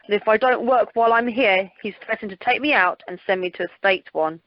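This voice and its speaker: A woman's voice: medium pitch, brisk, no echo, lo-fi sound, natural voice. A woman playing a privileged, dry-witted teenage boy, speaking wryly in an amateur radio comedy recorded by telephone. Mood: casual, curious.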